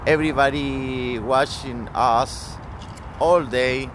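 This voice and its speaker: A man from Chile with a Chilean Spanish accent, speaking halting English with many restarts as an interview guest. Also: mid-range voice, fairly even intonation, background noise.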